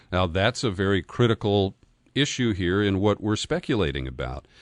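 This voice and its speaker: A man hosting an interview, phrasing his commentary and questions in a deliberate, careful way. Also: fairly low pitch, no echo, clean studio sound. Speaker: podcast host, interviewer.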